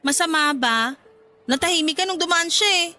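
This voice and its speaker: A woman's voice: high-pitched, brisk, bright, forceful tone. A woman playing a jealous, insecure romantic partner, sulky and accusing, in radio drama dialogue.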